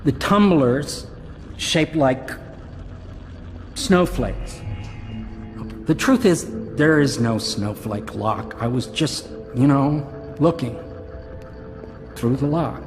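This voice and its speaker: An actor playing an awkward, fussy inventor in a video game, hesitant and stiffly formal. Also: male voice, mid-range voice, lively intonation, slow, long pauses, soft background music. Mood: embarrassed, flustered. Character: awkward, fussy male inventor and natural philosopher. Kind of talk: dramatic game cutscene dialogue.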